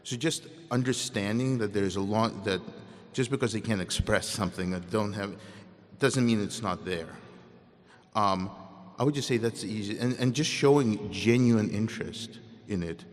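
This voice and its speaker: A man's voice: on the low side, moderate pace. A young man from Ukraine with a Russian accent, answering student questions candidly at a convocation and often breaking off and restarting. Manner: candid, self-interrupting, conversational. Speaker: guest speaker. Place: large hall. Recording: long hall reverb.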